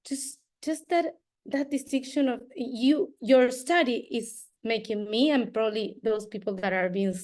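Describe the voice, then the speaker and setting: A woman's voice: fairly high pitch, a little slow, darkish tone. A woman from Argentina with an Argentine Spanish accent and Spanish as her first language, giving an earnest comment as a doctoral student, searching for words. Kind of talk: audience comment.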